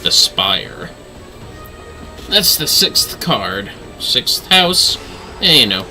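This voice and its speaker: A man's voice: medium pitch, sing-song, extremely slow, music in the background. A man livestreaming a video game with casual running commentary.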